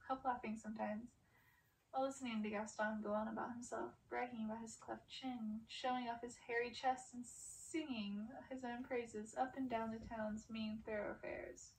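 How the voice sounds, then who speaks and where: A woman's voice: a little high, fairly even intonation, moderate pace. A woman reading a fairy-tale novel aloud in audiobook style. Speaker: reader of a story. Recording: distant mic with clear background noise.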